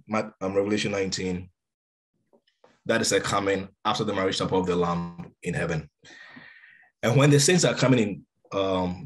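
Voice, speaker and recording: A man in his forties giving emphatic Bible teaching over a video call from a room at home. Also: fairly low pitch. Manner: emphatic, gestures while teaching. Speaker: preacher. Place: room with a window, indoors. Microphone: laptop webcam on a video call.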